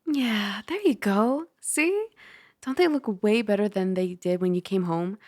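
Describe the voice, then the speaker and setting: A woman voicing a caring young dragon roommate in a soft ASMR roleplay, gently bossy and teasing as she gives close-mic instructions. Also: medium pitch, unhurried, dry recording, natural voice. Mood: gently instructive. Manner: gently bossy, teasing, puzzled by human ways. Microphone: close ASMR microphone.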